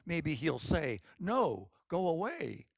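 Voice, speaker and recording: A man's voice: on the high side, very animated, slow, vocal fry, husky, dry recording, voice pushed up, heavily acted. A man doing a bossy, dramatic older woman. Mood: scheming. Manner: pushy, dramatic, commanding.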